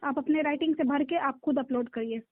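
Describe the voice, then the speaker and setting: A woman's voice: high-pitched, brisk. A woman official with a Bihari accent, insistent and directive, speaking on a recorded phone call. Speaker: block-level government official. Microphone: phone line.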